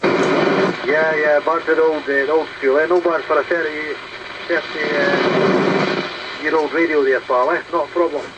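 Heavy radio noise surrounds a Scottish man with a fairly high-pitched voice. He chats casually over CB, repeating phrases and tagging on a friendly-sized "eh". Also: fairly even intonation, unhurried, long pauses. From Scotland. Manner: chatty, repeats himself, tags sentences with "eh". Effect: heard over CB radio. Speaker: amateur CB radio operator. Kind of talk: casual two-way radio chat. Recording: very noisy CB radio transmission. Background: loud radio static and hiss.

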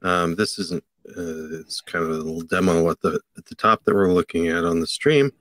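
A middle-aged man co-hosting a podcast, explaining a tech item in a halting way with many fillers, from a home office on a video call. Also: sits low, fairly bright tone, dry recording. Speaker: podcast co-host. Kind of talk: casual tech chat.

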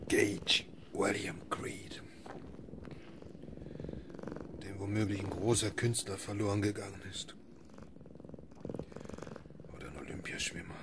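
An actor playing a haunted, grieving middle-aged father in an audio drama, halting and often hushed. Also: sits low. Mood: bitter toast. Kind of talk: audio drama acting, alternating intimate inner monologue and dialogue. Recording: noticeable background noise and ambience.

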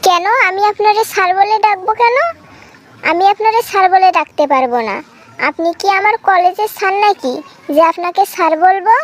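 A woman voicing a cheeky, defiant young village girl in a cartoon, talking back with a Bangladeshi accent. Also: very high voice. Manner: sassy, argumentative back-talk. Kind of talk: amateur cartoon dialogue.

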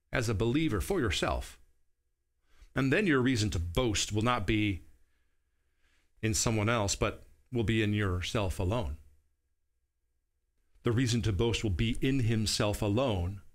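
A man's voice: medium pitch, fairly bright tone. A man in his forties preaching a sermon from a small studio set, explaining verses in an earnest teaching tone and checking in with his listeners. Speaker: pastor. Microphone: seated at a table, camera at medium distance.

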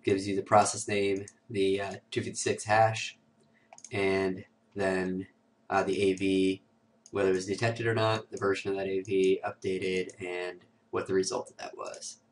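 Hoarse, low-voiced man with a cold. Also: flat delivery, unhurried, far from the mic. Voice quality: raspy. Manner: casual, filler-heavy walkthrough with many "uh" and "um". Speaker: host of a security tutorial show. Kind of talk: screen-recorded software tutorial.

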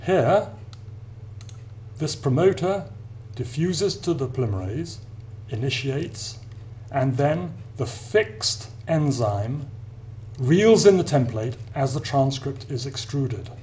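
A male academic lecturer narrates a science explainer at a middling pitch. He speaks deliberately, pausing between phrases. The recording carries a noticeable hum and background noise. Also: lively intonation, dark tone. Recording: clear background hiss and hum.

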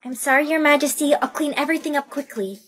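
A woman's voice: a little high, moderate pace, breathy edge. A woman playing a meek, kindly young servant girl in a scripted skit, timid and apologetic.

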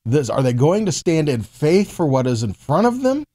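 Mellow American man teaching scripture. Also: a little high, sing-song, normal speed. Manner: explanatory, with frequent check-in tags like okay and right. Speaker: Bible teacher. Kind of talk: conversational teaching sermon.

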